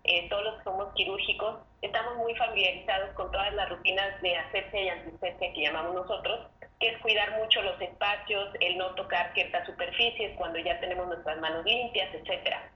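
A woman's voice: mid-range voice, fairly quick. A woman surgeon urges her listeners to take care, in an earnest and emphatic way. Her voice comes through a dull, slightly lo-fi line with a roomy echo and a faint hiss behind it. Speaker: gynecologist and surgeon. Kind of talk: casual podcast conversation.

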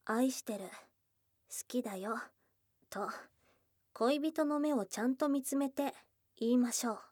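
A woman playing a high-voiced, bashful young goblin girl. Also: no room sound, clean studio sound. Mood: hesitant. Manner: hesitant, bashful, halting, with soft murmurs. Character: shy, tomboyish young goblin girl, smitten and flustered. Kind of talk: intimate ASMR situation voice drama. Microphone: close studio microphone, dry.

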